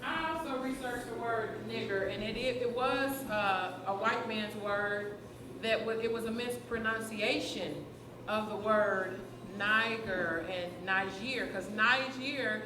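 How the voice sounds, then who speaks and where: A woman's voice: fairly high pitch, slow, reverberant, background noise. A woman who is a teacher, speaking earnestly and at length in a group discussion, with fillers as she explains. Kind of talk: open discussion at a community event.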